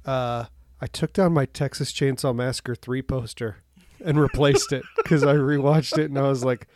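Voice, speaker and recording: A man's voice: mid-range voice, fairly even intonation, moderate pace, a little mellow, a little hiss or hum. A man co-hosting a podcast in casual chat from his home basement, rambling with many fillers and frequent laughs. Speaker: podcast co-host.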